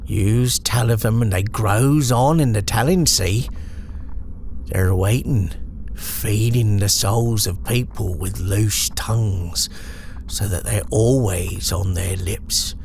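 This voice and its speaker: Eerie storybook crone, played by a man: rustic English dialect, gravelly, wary, superstitious, slow ominous muttering. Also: lowish voice, a little mellow, slight background noise. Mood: grim warning. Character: eerie old village woman, wary and superstitious.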